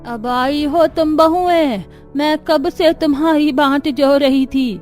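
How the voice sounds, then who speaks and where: A woman voicing a kindly old village grandmother in a cartoon story, with a drawn-out elderly delivery. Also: high voice, fairly even intonation, darkish tone, soft background music. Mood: fond, expectant. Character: kindly old village granny living in the forest. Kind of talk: Hindi cartoon story acting.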